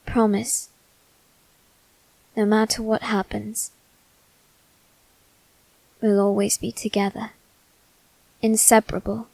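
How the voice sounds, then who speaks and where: Woman doing a posh-born teen boy, clingy and wounded, asmr roleplay, flat tone, close dry sound, long pauses. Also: mid-range voice, gravelly, no room sound, low-quality recording. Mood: solemn, devoted. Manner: slow, halting, measured delivery with long pauses. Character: wounded, possessive teenage boy aristocrat bound by demon contract. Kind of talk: ASMR character roleplay, scripted drama.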